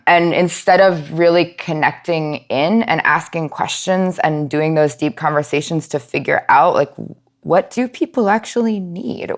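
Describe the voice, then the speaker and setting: A woman speaking as a podcast guest, giving thoughtful conversational answers and pausing mid-thought as she reflects. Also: sits low. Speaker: podcast guest, nonprofit outreach and education manager.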